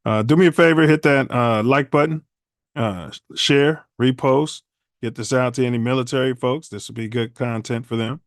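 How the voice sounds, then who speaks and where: A man hosts a casual podcast, rambling along in loose slang. His voice is smooth and clear. The sound is dry and close. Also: medium pitch, fairly even intonation, moderate pace, no room sound. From Chicago. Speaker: podcast host. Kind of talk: casual livestream podcast chat.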